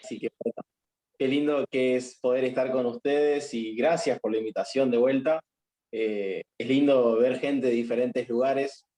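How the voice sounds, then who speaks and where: A man's voice: medium pitch, steady tone, normal speed, rough recording. A young Argentine man, a chaplain, introducing himself modestly over a video call before his talk, with frequent fillers. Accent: Argentine Spanish. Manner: warm, modest, with many fillers. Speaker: chaplain and speaker.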